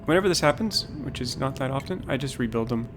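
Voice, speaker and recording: A man livestreams his game and talks it through in a calm, low-key way. He thinks aloud and often restarts his sentences. Faint music plays behind him. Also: quick pace. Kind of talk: casual gameplay commentary.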